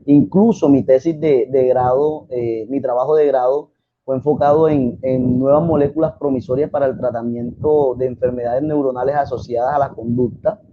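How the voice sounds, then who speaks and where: A man, a biologist answering interview questions over a video call in an earnest, steady way. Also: medium pitch. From Colombia. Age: 20s. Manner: earnest, steady explaining with filler pauses. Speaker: guest biologist and sex educator. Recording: slightly dull sound with faint noise.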